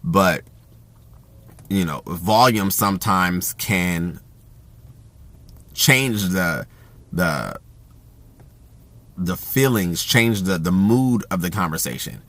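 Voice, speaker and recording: A man talking casually about communication in an even, self-described monotone, with a loud voice that carries and plenty of fillers and restarts. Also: low-pitched, slow pace, pauses often. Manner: rambling with frequent fillers and restarts. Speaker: host.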